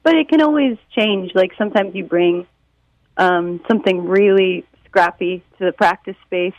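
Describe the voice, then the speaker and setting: An American woman from Detroit, a musician, answering interview questions in a casual, animated storytelling way with a creaky voice. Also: mid-range voice, normal speed, no echo, lo-fi sound. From Detroit, United States. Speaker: musician and songwriter being interviewed.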